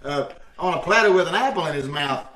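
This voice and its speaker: A man's voice: fairly high pitch, lively intonation, fast talker, recorded from a distance, close to an everyday voice. A man playing a blustering, hapless husband with folksy, slangy wisecracks in old-time radio comedy style. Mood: wisecracking. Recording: roomy, noisy online call, off mic.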